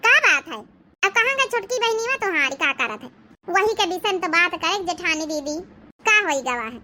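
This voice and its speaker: Very high, bright Awadhi woman. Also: slight background noise. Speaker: cartoon voice performer. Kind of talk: dramatised Awadhi comedy cartoon dialogue.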